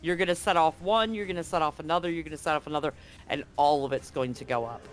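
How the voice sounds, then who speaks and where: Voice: medium pitch, fast talker. A game master running a live tabletop game, explaining the action and rules in a casual, conversational way. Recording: music behind the voice. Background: background music.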